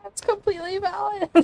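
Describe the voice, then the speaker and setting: A woman's voice: very high-pitched, vocal fry, warm tone. A young woman reacting casually to a video game as a streamer, giggly and gushing. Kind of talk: casual let's play reaction, reading lines aloud. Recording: game music behind the voice. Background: game music.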